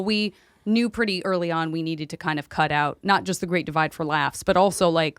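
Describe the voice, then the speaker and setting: A woman with a slightly low voice chats as a podcast host. She is playful and enthusiastic, and the sound is dry and close with little room to it. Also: no echo. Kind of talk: casual podcast chat.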